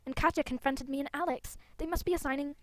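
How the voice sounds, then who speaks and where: A woman's voice: high-pitched, fairly even intonation, fast, no echo, natural voice. A woman playing an earnest, eager young female rider hero from a fantasy game. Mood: earnest, urgent.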